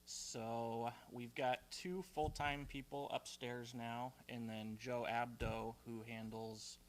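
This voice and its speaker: A man's voice: mid-range voice, takes it slowly, a little hiss or hum, low-quality recording. A young man, speaking plainly with many ums as he talks about his work as transportation manager to a room of residents. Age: young adult. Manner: flat, plain delivery with frequent ums. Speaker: transportation manager giving a talk. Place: community room at a retirement residence.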